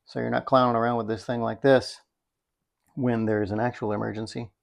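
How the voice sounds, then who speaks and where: Creaky-voiced man reviewing a gadget casually. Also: medium pitch, little pitch movement, fairly quick. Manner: folksy, wry, thinking out loud. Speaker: product reviewer. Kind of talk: casual unscripted product demonstration.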